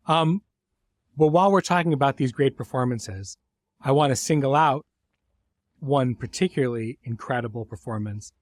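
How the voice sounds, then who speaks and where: A man's voice: medium pitch, dry recording. A middle-aged man hosting a podcast in an informal, conversational way, effusive with his praise. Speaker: host and series creator.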